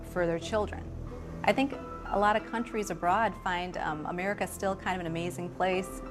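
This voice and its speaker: A woman immigration lawyer explaining things conversationally in an interview. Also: fairly low pitch.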